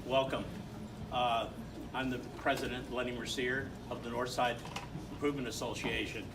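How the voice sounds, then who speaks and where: A man speaks loudly and casually to a room of neighbors, stumbling through his welcome with frequent fillers. His voice has a middling pitch, and clear background noise fills the room around him. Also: level tone. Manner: loud, informal and halting, with many fillers. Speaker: president of a neighborhood association. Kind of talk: welcoming remarks at a public meeting. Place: community meeting room.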